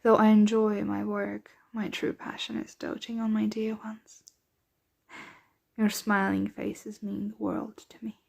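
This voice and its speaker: Soft, breathy vampire mistress, acted by a woman. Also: medium pitch, monotone. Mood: doting, reassuring. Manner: soft, unhurried, tender, often drifting into whispers. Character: doting aristocratic female vampire mistress, centuries old, gently possessive. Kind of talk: ASMR roleplay.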